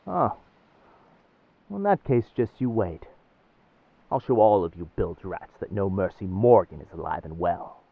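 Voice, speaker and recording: A low-voiced pirate captain, acted by a man for an audio drama, roguish and commanding, with old seafaring turns of phrase. The delivery swings widely in pitch, able to be both tender and menacing. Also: expressive, measured pace, gravelly, long pauses, no room sound, phone-quality sound, heavily acted. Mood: menacing, indignant. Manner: old seafaring diction, addresses others as lass and sir. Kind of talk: roleplay audio drama, speaking to the listener.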